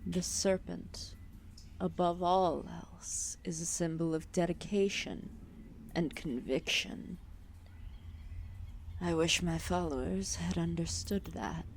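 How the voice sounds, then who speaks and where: A woman playing a calm, solemn, ancient serpent deity in an ASMR roleplay, speaking softly and formally with deliberate pauses. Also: lowish voice, a little hiss or hum. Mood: earnest, grave. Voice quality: soft, breathy, often dropping into whispers. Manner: slow, deliberate, formal phrasing with long pauses.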